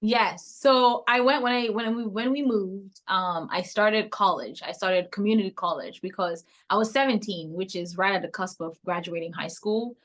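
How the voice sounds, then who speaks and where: Woman, 30s, Cameroonian-English accent with an American lean, lively reflective guest. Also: medium pitch, normal speed, few pauses. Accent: Cameroonian English, softened toward American. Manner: reflective, animated storytelling with frequent laughs. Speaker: podcast guest. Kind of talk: casual interview conversation.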